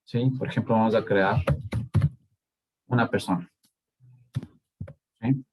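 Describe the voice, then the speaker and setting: A man's voice: fairly low pitch, background noise. A man with an Ecuadorian Spanish accent teaching a live coding lesson, stopping often to ask the students whether they are following. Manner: slow, checks in with frequent "¿sí?" and "¿de acuerdo?". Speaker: university lecturer.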